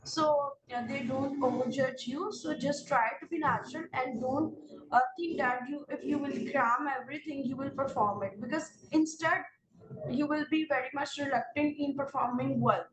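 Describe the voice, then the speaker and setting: Pakistani woman, high voice, exam coach giving straight advice with lots of uhs, a bit far from the mic, roomy sound. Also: crowd noise. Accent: Pakistani English. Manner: earnest, direct advice in second person, many filler pauses. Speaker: exam prep instructor. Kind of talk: spoken advice to exam candidates. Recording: roomy, distant mic with faint ambience.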